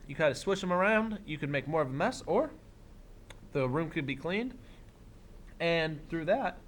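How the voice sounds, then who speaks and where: A man teaching young children, reading a picture book aloud expressively and pausing for simple explanatory asides. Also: fairly high pitch, animated, a little hiss or hum. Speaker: elementary school teacher.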